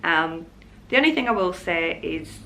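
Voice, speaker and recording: A woman's voice: lowish voice, animated, normal speed, sharp tone, slight background noise. A young woman with a Yorkshire accent, chatting casually and candidly in a rambling way to her vlog viewers. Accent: Yorkshire English. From Hull, Yorkshire, England. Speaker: vlogger.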